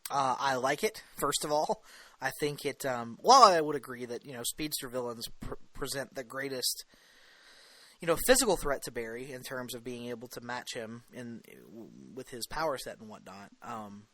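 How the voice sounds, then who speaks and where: A man's voice: medium pitch, normal speed, bright, forceful tone, no echo, low-quality recording. A man co-hosting a podcast, giving his opinions in casual fan discussion. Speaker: podcast co-host.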